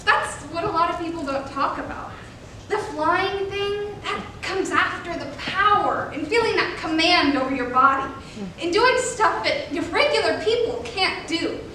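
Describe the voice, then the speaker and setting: A proud, intense gymnast played by a woman delivers an earnest monologue. The voice is very high and bright, staged in a very echoey hall. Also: expressive, a little slow, heavily acted. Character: proud, intense female gymnast recalling her sport. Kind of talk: reader's theater monologue. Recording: echoey hall, distant mic, faint noise.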